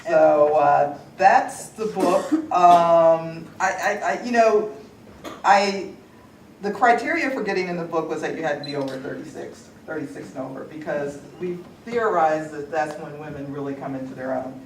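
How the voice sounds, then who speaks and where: A woman's voice: sits low, lively intonation, normal speed. A middle-aged American woman, an author on a panel, chatting casually and joking as she talks about her book. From United States. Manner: chatty, joking, laughs at her own lines. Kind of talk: casual conference talk. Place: large conference hall. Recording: echoey hall, distant, noisy audience.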